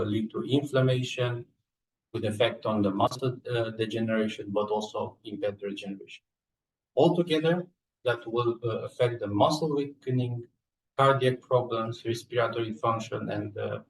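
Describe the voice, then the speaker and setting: A man with a Romanian accent presents research in a fairly low voice. His delivery is halting, broken by frequent filled pauses. The sound is clearly roomy, picked up at some distance from the microphone. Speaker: research scientist and lab coordinator presenting. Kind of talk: scientific slide presentation. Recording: echoey room, distant microphone.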